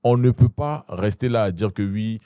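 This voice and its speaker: A man's voice: lowish voice, normal speed, smooth, no room sound, clean studio sound. A young adult man with an Ivorian French accent, a guest talking animatedly in a casual interview, often restarting and checking in with the listener. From Ivory Coast. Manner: animated, repeats and restarts, addresses listener with "tu vois". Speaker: guest, Muay Thai champion.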